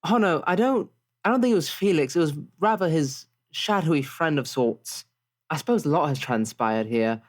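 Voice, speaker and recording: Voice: medium pitch, lively intonation, normal speed, voice pushed up, close to an everyday voice. Player as wry, genteel ship crew member. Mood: breezily evasive. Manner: polite, wry, understated.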